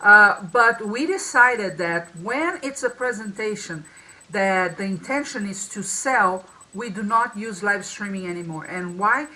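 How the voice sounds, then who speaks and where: Woman with a strong bright voice, casual webinar chat, lots of restarts, over a video call. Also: mid-range voice, lively intonation, a little slow, poor audio. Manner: many restarts and fillers. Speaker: co-host.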